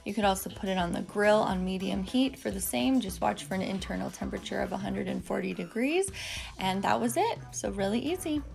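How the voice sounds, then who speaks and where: A woman who hosts a cooking vlog, talking through recipes in a relaxed, chatty voice-over with the odd um. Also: mid-range voice, fairly quick, vocal fry, faint music behind. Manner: chatty, relaxed step-by-step instructions with ums. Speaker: cooking vlogger. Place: home kitchen.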